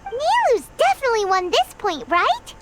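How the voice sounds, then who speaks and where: A woman voicing a small, excitable fairy-like girl companion in a video game, squeaky and cheering in short exclamations. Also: moderate pace, slight background noise, full character voice. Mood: eager encouragement.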